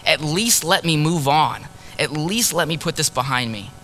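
A man preaching in the first person as a grieving ancient prophet, earnest and sorrowful, dropping to a hush on key words. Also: a little high, expressive, normal speed, slight background noise. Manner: earnest, sorrowful storytelling, drops to hushed tones on key words. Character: grieving ancient male prophet, heartbroken husband. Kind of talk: dramatic first-person sermon monologue.

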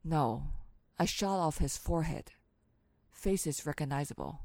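A woman's voice: low voice, a little slow, darkish tone, no echo. A woman playing a stern, blunt outlaw gunslinger in a western radio drama, terse and matter-of-fact.

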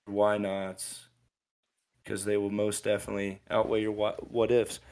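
Guy in his 20s, low gravelly voice, rambling podcast rant, keeps restarting sentences. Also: monotone, unhurried, a little mellow. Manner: rambling, ranting, with frequent restarts. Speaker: podcast co-host. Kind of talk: casual motivational podcast chat.

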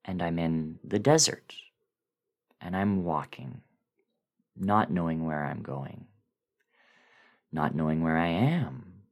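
Male narrator, very low voice, suspenseful and theatrical horror storytelling with pregnant pauses. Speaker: guest reader of a horror story. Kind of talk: dramatic first-person horror story reading.

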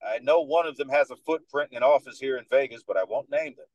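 A man in his thirties with a Dominican American accent, speaking as a podcast guest in a confident, animated way. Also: mid-range voice, quick pace, no room sound, highs cut off. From United States, New York. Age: 30s. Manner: confident, animated storyteller who acts out other people's lines. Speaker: guest, sports radio host. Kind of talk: casual podcast interview.

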